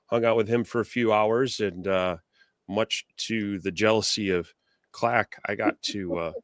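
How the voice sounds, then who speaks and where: A hoarse man co-hosting a live stream, rambling with lots of fillers over a video call. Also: fairly low pitch, steady tone, measured pace, dry recording, studio quality. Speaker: co-host. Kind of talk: casual live-stream chat.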